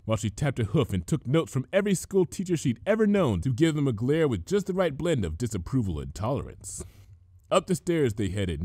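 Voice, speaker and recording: Male storybook narrator. Animated pitch, mid-range voice, very dry sound. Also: dry recording. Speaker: fan fiction reader.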